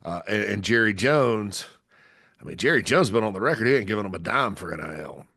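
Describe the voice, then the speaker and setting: A low-pitched man with a drawl talks his way through a solo podcast, stuffy from a cold. He rambles, restarting sentences and leaning on fillers. The sound is dry and close, with no room around it. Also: lively intonation, fairly quick, vocal fry, bright, forceful tone, slightly rough, dry recording. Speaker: podcast host. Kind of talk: casual solo podcast talk.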